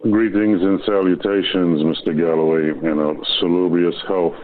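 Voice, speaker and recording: A man's voice: sits low, fairly even intonation, moderate pace. A man calling in to a talk show, speaking in a florid, rambling way with many fillers. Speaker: caller. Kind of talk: phone-in commentary. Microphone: phone. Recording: tinny phone line with faint hiss.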